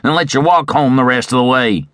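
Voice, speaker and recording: A man voicing a bullying, sneering outlaw in a Western story, with taunting, rough frontier talk. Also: mid-range voice, fairly quick, a bit bright, no room sound, pitched above the performer's own voice, natural voice. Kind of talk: Western audiobook character.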